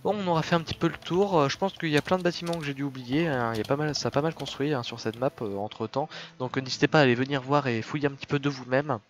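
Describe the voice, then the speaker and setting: A man's voice: mid-range voice, rapid-fire, hardly any pauses. Tired man with a head cold. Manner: laid-back, hesitant with many fillers. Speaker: host of a Minecraft server series. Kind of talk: casual gaming commentary.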